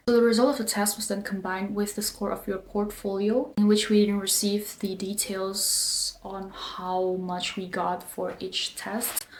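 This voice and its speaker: A young woman, not a native English speaker, talking casually as a design student vlogger, relaxed and full of fillers. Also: mid-range voice. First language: not English, not German. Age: young adult. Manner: relaxed, filler-heavy, with frequent likes and ums. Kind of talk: casual explanatory vlog. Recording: echoey room, faint background noise.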